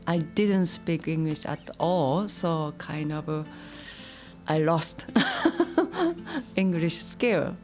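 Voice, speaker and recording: A Japanese woman, a native Japanese speaker, tells her migration story in simple, halting English and laughs often. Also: fairly low pitch. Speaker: interviewee. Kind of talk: personal story, monologue.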